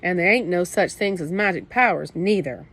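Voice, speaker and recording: A woman doing a gruff, streetwise teen brother. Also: on the high side, expressive, a little fast, voice pushed up, natural voice. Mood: gruff comforting. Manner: streetwise slang, scolding then comforting. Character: tough teenage big brother, gruff but protective.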